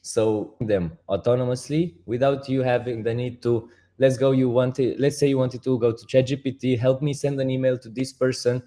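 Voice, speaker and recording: A man with a Romanian accent, a project founder speaking as a guest and explaining step by step in halting, restarting sentences. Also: medium pitch, fairly even intonation, warm tone. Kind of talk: casual interview talk.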